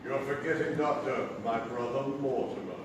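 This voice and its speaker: A man playing a cold, sadistic murderer in a stage comedy, deliberate, sinister and commanding. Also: medium pitch, fairly even intonation, lightly acted. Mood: cold menace. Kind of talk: live stage comedy acting. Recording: very echoey theatre, distant mic, noisy.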